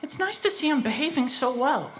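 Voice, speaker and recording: A woman's voice: high voice, sing-song, a little fast, slight background noise. A woman playing a needling, sardonic accomplice in an audio drama. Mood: mocking.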